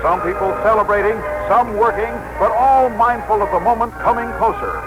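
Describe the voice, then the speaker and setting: A man's voice: high voice, fairly quick, lightly acted, low-quality recording. A man playing an earnest, easily flustered street reporter in a radio drama, polite and sentimental in announcer style. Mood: cordial. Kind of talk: 1960s radio acting, live commentary. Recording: old radio broadcast with background music.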